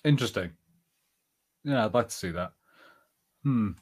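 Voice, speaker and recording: A man's voice: fairly low pitch, expressive, moderate pace, husky. Easygoing male podcast co-host. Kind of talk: casual podcast banter.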